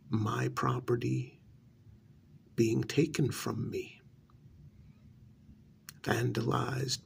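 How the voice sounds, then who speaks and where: A man playing a cruel, haughty vampire aristocrat in an ASMR role-play, speaking in a gravelly, mock-sympathetic drawl that often drops to a whisper. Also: medium pitch, slight background noise, full character voice. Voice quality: gravelly, creaky. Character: cruel, haughty male high-society vampire taunting a captive. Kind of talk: ASMR role-play, intimate dramatic monologue.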